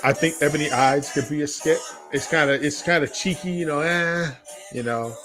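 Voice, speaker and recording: A man's voice: on the high side, normal speed, over music. A man hosting a podcast in casual, slangy chat, often repeating phrases for emphasis. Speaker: podcast host.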